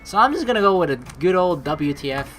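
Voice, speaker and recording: Low, animated young woman playing games. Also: quick pace. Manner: expressive, reactive, sweary. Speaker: let's play host. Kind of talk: game commentary, reading narration aloud. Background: game music.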